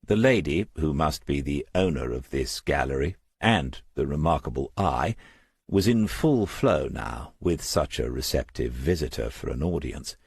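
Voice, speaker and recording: A man's voice: a bass voice, expressive, measured pace, vocal fry, slightly rough, no room sound, studio quality. A man narrating a crime novel as an audiobook, reading scripted prose.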